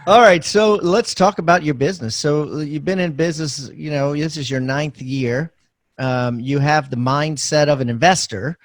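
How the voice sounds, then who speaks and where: A man's voice: fairly high pitch, normal speed, no echo. A man hosting a podcast interview, asking questions in an informal, conversational way. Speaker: podcast host interviewing a guest. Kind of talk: casual interview chat.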